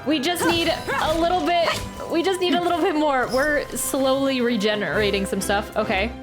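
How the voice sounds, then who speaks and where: A woman's voice: high-pitched, moderate pace. A woman streaming a game with casual reaction commentary, thinking aloud and repeating phrases, game music behind her.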